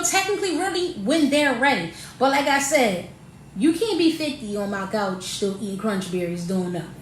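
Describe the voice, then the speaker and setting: A woman in her late thirties talks in an animated, slangy way. She laughs often and sits high in her range. The room around her rings with echo. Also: slight background noise. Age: 30s. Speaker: podcast co-host, mother. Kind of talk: casual chat.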